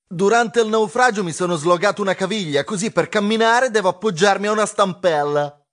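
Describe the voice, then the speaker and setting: A hearty male mouse from a storybook, loud and jolly, stretching out his words with a chuckle in them, as a man puts him on. His voice sits well above the reader's own. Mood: chatty. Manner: booming, chuckling, drawn-out words.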